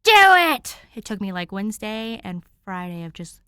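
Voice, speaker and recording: A young adult woman reading fan fiction as an amateur narrator, moving between expressive storytelling and casual chat. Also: medium pitch, normal speed, clean tone, no echo.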